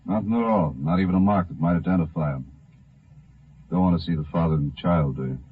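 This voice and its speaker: Low, laconic country doctor. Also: male voice, fairly quick, husky. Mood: dry, obliging. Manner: dry, laconic. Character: matter-of-fact male small-town hospital doctor, obliging. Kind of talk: 1950s radio drama acting. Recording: muffled narrow 1950 radio recording.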